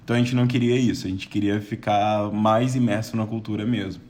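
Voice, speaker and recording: A young man with a Brazilian Portuguese accent chats as a podcast guest. His voice sits a little low. He rambles easily, restarting his sentences and laughing now and then. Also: fairly even intonation, a little fast, a little mellow. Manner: chatty, with frequent restarts and laughs. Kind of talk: casual podcast chat.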